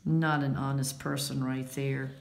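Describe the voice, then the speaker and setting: Female storyteller, low register, instructive picture-book read-aloud, unhurried, roomy echo. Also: level tone, gravelly, a little mellow, a little hiss or hum.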